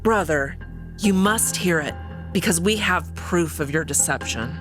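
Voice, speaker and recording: Woman playing a stoic female wolf, measured, lower pitch, backing music. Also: natural voice. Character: calm female wolf, the emperor's sister. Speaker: guest voice actor.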